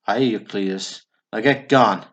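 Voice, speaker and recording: A man playing a gruff, weary, laconic in a stage drama, with a terse, deadpan delivery. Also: fairly low pitch, normal speed, natural voice. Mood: curt, resigned.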